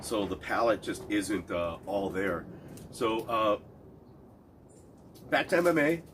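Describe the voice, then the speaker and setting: A man's voice: on the low side, sing-song, slow pace, background noise. Stuffy-nosed man rambling about fights. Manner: rambling, many restarts and fillers. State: stuffed-up nose. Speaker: cigar and MMA betting reviewer. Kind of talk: casual solo talk.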